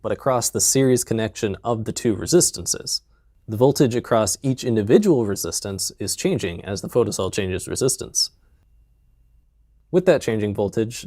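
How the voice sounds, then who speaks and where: A young man in his twenties with a lapel mic in a basement, narrating a comedic DIY tutorial in a deadpan, jokey way with mumbled asides. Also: medium pitch, dry recording, studio quality. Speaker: DIY maker vlogger. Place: basement workshop, indoors.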